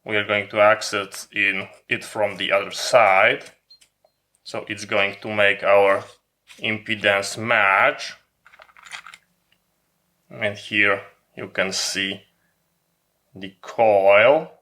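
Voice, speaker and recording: A man giving an informal hands-on product demonstration as a hobby vlogger, explaining in deliberate, halting phrases with emphatic pauses. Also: on the low side, steady tone, pushed and bright.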